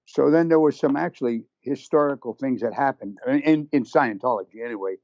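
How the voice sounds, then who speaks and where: A man's voice: medium pitch, fairly quick, darkish tone, dry recording. Male interviewer, dry and slightly muffled. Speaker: interview host. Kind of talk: conversational interviewing. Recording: dry but dull, lo-fi sound.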